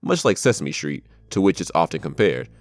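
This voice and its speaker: A man's voice: low-pitched, very animated, brisk, no echo. A man delivering scripted narration as a video narrator. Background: background music.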